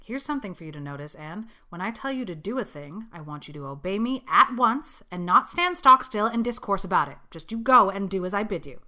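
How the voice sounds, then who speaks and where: A woman playing a stern, sharp-voiced spinster guardian. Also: medium pitch, sing-song. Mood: stern, commanding. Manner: brisk, grim, disapproving, matter-of-fact. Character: stern, practical, no-nonsense older spinster guardian. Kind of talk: audiobook character acting.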